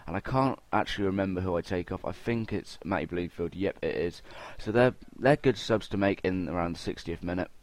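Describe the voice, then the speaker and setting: Low-voiced young man commentating gameplay. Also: brisk, no room sound. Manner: chatty, informal, addresses viewers directly. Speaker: gaming video host.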